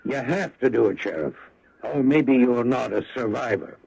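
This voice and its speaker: An actor playing a hard-nosed, insistent American small-town official in an old radio drama, emphatic and persuasive. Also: male voice, medium pitch, lively intonation, moderate pace, creaky, darkish tone. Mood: pressing. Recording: dull vintage radio broadcast recording.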